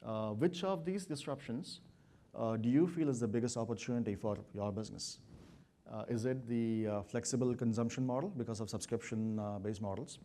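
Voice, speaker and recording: A man presenting at a business conference and addressing his audience, pausing often with "uh". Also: mid-range voice, warm tone, slight background noise. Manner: measured, many "uh" fillers. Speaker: consulting presenter.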